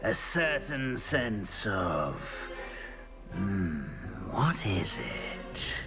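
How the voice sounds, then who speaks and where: Deep, very slow ancient talking hat. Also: male voice, very animated, full character voice. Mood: pondering. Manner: musing, drawn-out, deliberate. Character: ancient male enchanted talking hat, pondering, shrewd. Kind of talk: cinematic video game acting. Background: orchestral game music.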